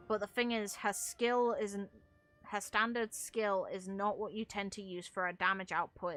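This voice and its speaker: A woman's voice: mid-range voice, a bit bright. A woman giving casual, opinionated video game commentary as a streamer, with some casual swearing.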